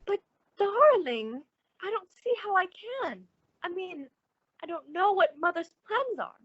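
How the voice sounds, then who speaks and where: An actress playing a gushing, solicitous, sociable woman with effusive, drawn-out emphasis in an old-time radio suspense drama. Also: very high-pitched, animated, fairly bright tone, dry recording, highs cut off, exaggerated voice. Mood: puzzled, reluctant.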